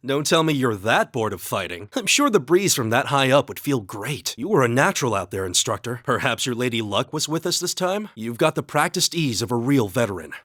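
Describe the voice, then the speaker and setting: An earnest young male student warrior from a video game. His delivery is measured and sincere with a formal turn of phrase. The pitch sits mid-range but moves expressively, and the sound is close and dry. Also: very animated, fairly bright tone, dry recording. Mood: friendly. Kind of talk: English video game voice acting.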